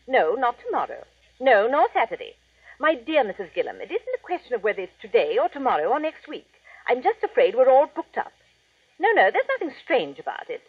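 A thin old radio recording of a pompous female salon owner. Her affected voice swoops widely, slightly husky, coolly condescending in vintage radio drama fashion. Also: a little high, no echo, phone-quality sound, exaggerated voice. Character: haughty, gushing female beauty salon owner. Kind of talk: 1940s radio acting.

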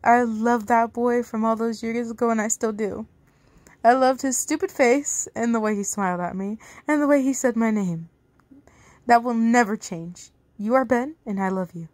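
A woman's voice: a little high, moderate pace, a little mellow, no room sound, close to an everyday voice. A woman voicing a stubborn, lovestruck young woman in a dramatic story reading, urgent and emotional. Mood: defiant, tender.

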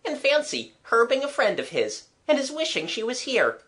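A woman's voice: sits high, big pitch swings, normal speed, fairly bright tone, pitched above the performer's own voice. A woman voicing a cocky, matter-of-fact schoolboy in a storybook, with a blunt, slangy way of talking. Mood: smug, scornful.

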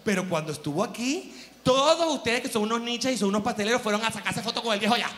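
A man's voice: very high voice, animated, fast talker, a bit bright. A man, doing rambling stand-up comedy on a theater stage with a handheld mic, jumping into exaggerated put-on quotes. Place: large theater stage with live audience.

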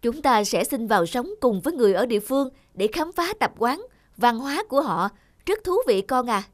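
A woman voicing a patient cartoon father who gently explains and teaches things to his young son. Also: fairly high pitch, expressive, a little fast, no room sound, close to an everyday voice. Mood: instructive. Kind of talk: cartoon voice acting.

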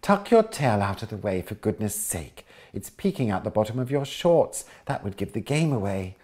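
A scheming lady hyena full of excited plans, bossy and coaxing, as a man reads her aloud from a storybook. She talks with a mellow, low-set tone and a quick, eager lift, putting on airs when she plays the grand lady. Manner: excited, coaxing, goes posh.

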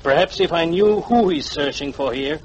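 A man's voice: on the high side, normal speed, lo-fi sound, lightly acted. An actor playing an unruffled, formal, suave hired watcher, a man in a 1940s radio drama. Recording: noisy 1940s radio broadcast recording.

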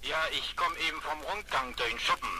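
Deferential warehouse guard played by a man, mid-pitched, quick and earnest, vintage radio drama with heavy noise. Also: lightly acted. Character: earnest male warehouse watchman reporting trouble. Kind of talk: German radio play acting. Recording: old radio play, loud background noise.

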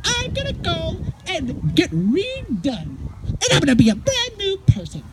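A woman voicing a whiny, self-pitying male puppet creature with melodramatic, wailing delivery and drawn-out words in a live puppet show. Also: extremely high pitch, huge pitch swings, full character voice. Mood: defiant, resolved. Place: outdoors on a lawn, behind puppet stage. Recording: outdoor recording with audience noise.

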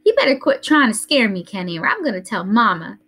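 A woman voicing a frightened little girl who scolds and threatens to tell on her brother, with a shrill, whiny voice. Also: sing-song, a little fast, a bit bright, breathy edge, soft background music. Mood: scolding.

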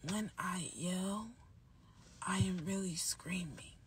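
A young woman with a soft, whispery voice, hoarse and nearly losing it, chatting casually on a phone livestream at night. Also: lowish voice, steady tone, slow, background noise. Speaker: livestream host. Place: dark room at night. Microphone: phone held close.

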